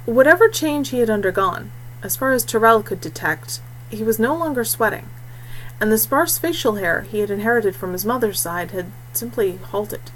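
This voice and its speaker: A woman's voice: a little high, expressive, moderate pace, slight background noise, rough recording. A woman reading scripted fiction as an audiobook narrator.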